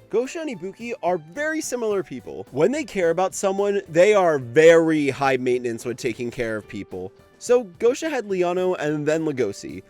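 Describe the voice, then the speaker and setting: A man's voice: fairly high pitch, a little mellow. A man giving scripted but conversational video commentary on an anime, with an informal, emphatic way of talking. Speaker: video essayist.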